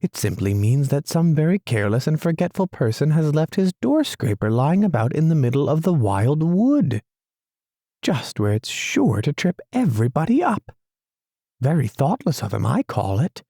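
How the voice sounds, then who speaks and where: Storybook mole, male, prim and pettish, voiced by a man in a lifted, gravelly register. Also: a little high, sing-song, moderate pace, mellow, no room sound, clean studio sound, voice pushed up. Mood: complaining. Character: fussy, literal-minded male mole. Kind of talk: storybook reading.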